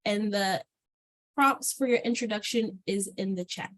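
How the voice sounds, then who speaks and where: A woman's voice: medium pitch, unhurried, fairly bright tone. A woman facilitating a workshop on a video call, encouraging and welcoming the participants.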